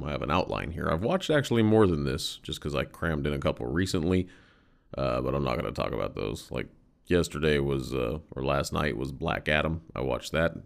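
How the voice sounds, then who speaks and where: A man's voice: a bass voice, creaky. A man hosting a casual solo podcast from a hotel room on a USB condenser mic. He has a head cold that makes him stuffy and a bit nasal, and he talks in a loose, self-deprecating way and swears often. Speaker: podcast host.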